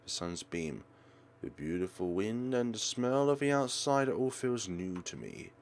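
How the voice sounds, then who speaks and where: Low-pitched man reading a story dramatically. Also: very animated, measured pace. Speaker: let's player. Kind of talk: reading a visual novel aloud with commentary.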